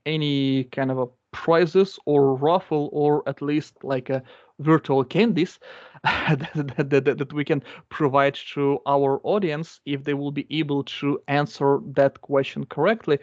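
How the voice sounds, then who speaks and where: A man's voice: fairly high pitch, measured pace, dry recording. Man explaining code in non-native English. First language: not English. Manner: explanatory, repeats phrases, many fillers. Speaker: guest speaker. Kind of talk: live coding demo. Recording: dull lo-fi dry sound.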